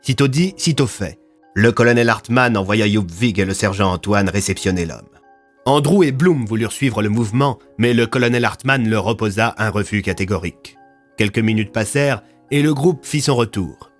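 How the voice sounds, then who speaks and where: A man narrating a scripted audio fiction in a storytelling style. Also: fairly low pitch.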